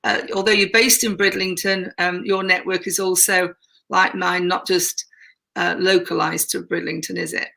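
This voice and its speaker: A woman's voice: lowish voice, level tone, normal speed, vocal fry, pushed and bright. A woman co-hosting a casual faith conversation, talking at length with many fillers, from a converted church. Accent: non-Yorkshire. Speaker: ministry leader and co-host. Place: converted church tower room.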